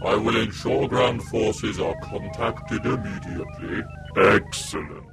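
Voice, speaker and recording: A cold, formal henchman, played by a man. His voice sits low and clipped. Music plays clearly under him. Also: measured pace, natural voice. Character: cold male villain's underling reporting. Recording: music bed behind.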